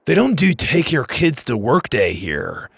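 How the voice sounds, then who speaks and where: Man playing an excitable geeky skinny guy. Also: medium pitch, a little slow, gravelly, husky, no echo, full character voice. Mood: amused, suspicious. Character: skinny, geeky adult man, amused, gullible sci-fi fan.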